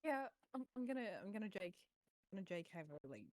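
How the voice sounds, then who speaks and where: A woman's voice: mid-range voice, expressive, quick pace, dry recording, rough recording. A woman chatting casually as a player in a tabletop roleplaying game.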